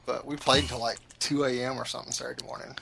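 Male podcast co-host, mid pitch with a gravelly edge, teasing casual talker. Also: level tone, very fast, pushed and bright, a little hiss or hum. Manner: teasing, chatty storyteller. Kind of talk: casual chat.

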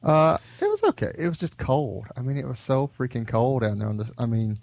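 A man's voice: mid-range voice, very animated, fairly quick, gravelly, darkish tone, dry recording. A man co-hosting a podcast, sick with a head cold, telling stories in a rambling, laid-back way. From Houston area. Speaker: podcast co-host. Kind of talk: casual chat.

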